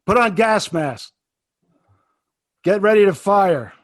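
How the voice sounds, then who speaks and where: A man's voice: sits high, expressive, slow, a bit bright, no room sound, studio quality, heavily acted. An actor playing a male artillery officer in a radio play, barking clipped firing orders. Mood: commanding. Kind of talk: 1930s radio drama acting.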